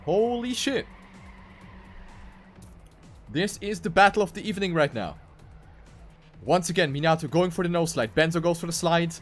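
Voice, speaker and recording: A man's voice: a little high, expressive, moderate pace, long pauses, faint music behind. A man giving live commentary on a video game race.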